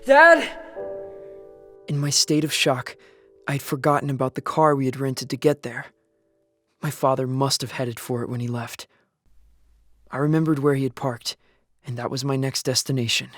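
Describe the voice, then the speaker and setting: A male actor plays a young man surviving the apocalypse in an audio drama. He is earnest, haunted and grieving, telling his own story in a mid-pitched voice. The sound is dry and close, with music faintly underneath. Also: lively intonation, normal speed, fairly bright tone, no echo, close to an everyday voice. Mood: desperate shouting, then bleak, haunted. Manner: somber, earnest storytelling with anguished outbursts. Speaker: lead actor and narrator. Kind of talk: first-person audio drama narration with acted scenes.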